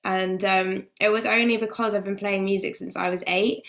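A woman's voice: mid-range voice, fairly even intonation, normal speed, vocal fry, a bit bright. A woman in her twenties speaking casually as a panelist on a video call, with fillers like "like" and "I don't know". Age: 20s.

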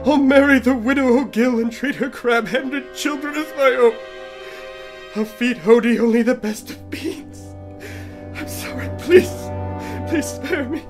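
High, whimpering cowardly doctor. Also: male voice, unhurried, music in the background. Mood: abject pleading. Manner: stammering, pleading. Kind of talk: pulp radio serial parody acting.

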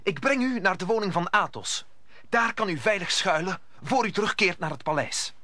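A man's voice: high voice, lively intonation, moderate pace. A man playing a gallant, earnest young musketeer in a radio play, declaiming his lines. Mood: earnest, courteous.

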